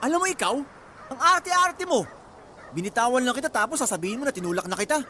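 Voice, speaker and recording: An actor playing a jealous, abusive young boyfriend in a radio drama, with an accusing, sarcastic and menacing manner. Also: male voice, very high voice, sing-song, quick pace, pushed and bright, slight background noise, heavily acted. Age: young adult.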